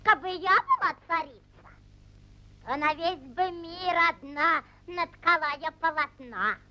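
Shrill, piercing peasant maiden. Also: female voice, a little slow, bright, forceful tone, full character voice. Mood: eager, boastful. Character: lanky, shrill, boastful peasant weaver maiden. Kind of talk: 1940s animated film acting, verse. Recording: old 1940s film soundtrack.

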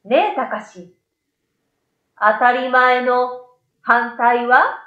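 A woman's voice: fairly high pitch, very slow, echoey room, muffled recording, heavily acted. A woman voicing a caring, motherly mum who scolds and soothes in a storybook reading. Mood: tender, soothing. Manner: motherly, gentle explaining.

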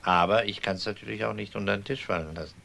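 Dry, wry police detective, fairly low. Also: male voice, steady tone, quick pace, creaky, sharp tone, a little hiss or hum. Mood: dryly firm. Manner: dry, politely ironic. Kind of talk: German crime radio drama acting.

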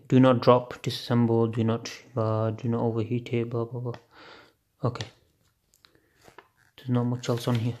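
A man chats his way through an unboxing in a flat, offhand voice, dropping the odd swear word as he thinks aloud. He is recorded on a phone held above a table at home late at night. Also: mid-range voice. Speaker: unboxing video host. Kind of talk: casual unboxing chat. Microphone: phone camera filming hands on a table.

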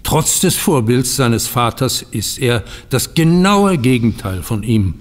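A polite, troubled banker in a radio drama. His voice sits at a medium pitch and his wording is formal and ornate. The room rings with a long reverb. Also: sing-song, slow. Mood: rueful. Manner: formal, flowery, courteous. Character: polite, anxious male banker in financial ruin. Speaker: actor in an audio drama. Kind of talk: German radio play acting.

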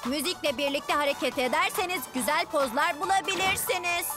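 A woman's voice: high voice, brisk, close to an everyday voice. A woman voicing a small, eager, earnest young bus boy in a children's cartoon dub, given to exclamations. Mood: earnest, instructive. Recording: loud music behind the voice.

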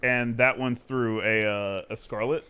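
A man's voice: medium pitch, a little slow, clean tone. A young man streaming a game at home, chatting casually and cursing at the characters on screen. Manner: excitable, swears at game characters. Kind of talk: casual livestream chat over gameplay. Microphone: studio mic through a mixer.

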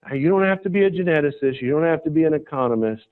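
A man's voice: animated, very fast. A man from the United States giving a folksy, persuasive conference talk, often checking in with the audience. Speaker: conference speaker, cattle breeder. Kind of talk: conference presentation. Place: conference hall stage. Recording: tinny, phone-like low-fi recording.

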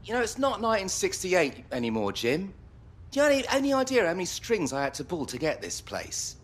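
An actor playing a cocky, teasing middle-aged sports agent in a video game. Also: male voice, high voice, sing-song, brisk, slight background noise. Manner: brash, teasing.

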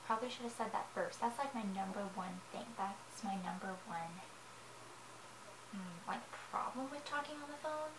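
A young woman with a high, girlish voice, rambling in a personal vlog from her sofa with lots of fillers and self-corrections. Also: moderate pace. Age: young adult. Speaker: vlogger. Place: living room, seated on a sofa. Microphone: camera in front of her. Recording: echoey room with noise.

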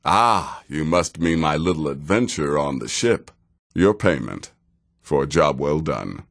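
An actor playing a cynical, seasoned male assassin in a video game, with a dry, deadpan and deliberate manner. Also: a bass voice, creaky, heavily acted. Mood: measured, businesslike. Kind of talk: video game character dialogue.

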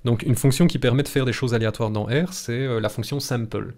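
A man's voice: medium pitch, fairly even intonation, fairly quick, a little mellow. A man in his thirties with a Belgian French accent, teaching statistics live in a chatty, reassuring, casually blunt and jokey way, close to the mic in a small room. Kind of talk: informal live tutorial, explaining step by step. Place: small home room, indoors.

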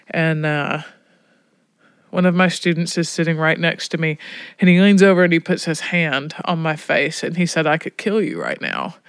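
A woman's voice: lowish voice, clean tone, dry recording, clean studio sound. A woman from Alabama telling reflective stories in an interview, emphatic and often checking with "right?". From Alabama, United States. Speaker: prison education program director.

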